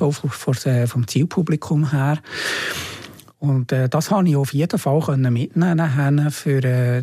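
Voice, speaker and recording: A man's voice: medium pitch, gravelly, a little mellow, husky, no echo, studio quality. A man with a Swiss German accent, talking informally as an interview guest and looking back on past work. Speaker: interview guest, former media editor. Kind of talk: informal reminiscing conversation.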